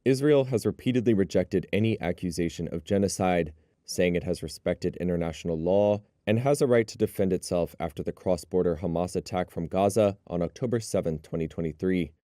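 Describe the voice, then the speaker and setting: A man narrating a news report in a measured, even delivery. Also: low voice, no room sound, clean studio sound.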